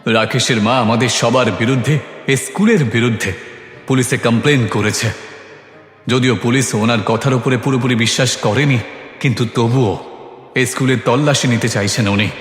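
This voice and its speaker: A man's voice: medium pitch, normal speed, soft background music, close to an everyday voice. A man playing a grieving, secretive middle-aged school principal, grave and commanding, in a horror story. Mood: tense orders. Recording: echoey, long reverb.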